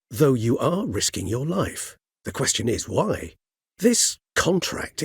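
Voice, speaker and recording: A middle-aged man playing a courtly, erudite, world-weary higher vampire in a video game, speaking smoothly in formal, eloquent phrases with thoughtful pauses. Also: no echo, clean studio sound, exaggerated voice. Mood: earnest, weary. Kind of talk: video game character dialogue.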